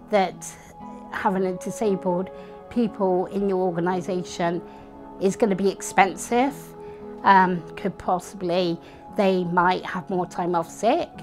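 Middle-aged British female interviewee, medium pitch, explanatory delivery over faint music. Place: bright indoor room with curtains.

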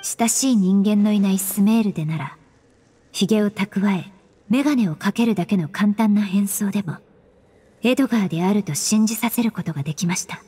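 A woman voicing a composed, polite young female investigator in an anime game, formal and analytical, with a soft breathy voice. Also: mid-range voice, moderate pace, close to an everyday voice. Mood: grave, explaining.

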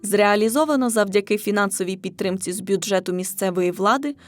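A woman's voice: mid-range voice, a little fast. A woman reading scripted narration of a children's folk tale as the storyteller. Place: studio.

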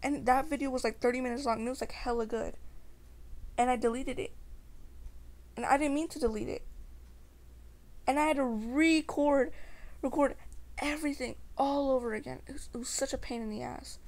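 A woman's voice: high voice, fairly even intonation, normal speed, long pauses, a little mellow. A young woman chatting casually to her viewers in a slangy, rambling way. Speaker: amateur ASMR creator.

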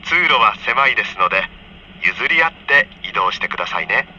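A man reading a scripted onboard train announcement for children in friendly, polite, clearly enunciated phrases, heard over a train PA. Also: lowish voice, expressive, fairly quick, sharp tone. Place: train car, over the PA system. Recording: dull train PA with faint noise.

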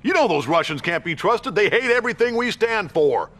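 A stubborn American president in a game. He is bombastic and animated, with a forceful, fairly high middle-aged voice. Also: a little fast, exaggerated voice. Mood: indignant. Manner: emphatic, bombastic.

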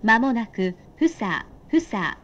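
A woman's voice: fairly high pitch, big pitch swings, highs cut off. A woman reading recorded train announcements in short set phrases, formally and politely. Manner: formal, polite, measured scripted delivery.

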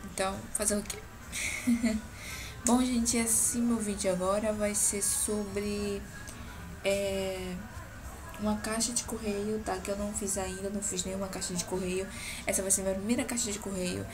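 A woman's voice: medium pitch, flat delivery, moderate pace. Young woman, nasal with a cold. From Brazil. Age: young adult. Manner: chatty, keeps adding "tá?" checks. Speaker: book blogger. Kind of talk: casual vlog book show-and-tell. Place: small bedroom at home. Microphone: webcam at close range. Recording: neighbours' music heard behind. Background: neighbours' music playing.

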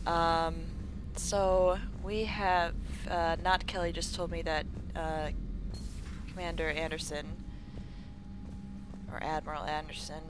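A young woman giving casual, jokey let's-play commentary close to her mic, with a low morning voice. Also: level tone, slow pace, long pauses, noisy background. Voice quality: lower morning voice. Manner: chatty, jokey, swears, laughs a lot. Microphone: close desk mic.

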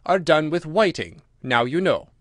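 A man's voice: fairly high pitch, animated, unhurried, dry recording. A man voicing a brusque, impatient and bossy gryphon beast in a storybook, with an emphatic, abrupt delivery. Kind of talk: storybook character voice.

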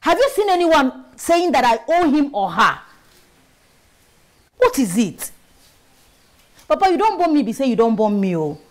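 Feisty young Nigerian woman, high animated voice. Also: unhurried, long pauses, no echo. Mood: proud, defiant. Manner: outspoken, assertive, mixes in Pidgin. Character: feisty, proud young woman mechanic, outspoken and bossy. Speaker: actor in a film drama.

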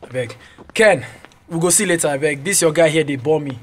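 A man's voice: on the high side, a little fast, a little hiss or hum, lightly acted. A man with a Nigerian accent playing a cocky, taunting young campus bully in a radio drama. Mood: dismissive.

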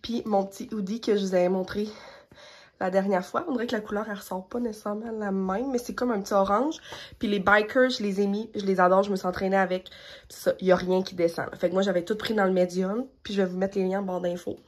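A woman's voice: medium pitch, steady tone, quick pace, darkish tone. Chatty Québécois woman vlogging on her phone. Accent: Québécois French. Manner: chatty, upbeat, rambling with fillers. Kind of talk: casual vlog chat. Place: bedroom and car. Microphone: phone held at arm's length.

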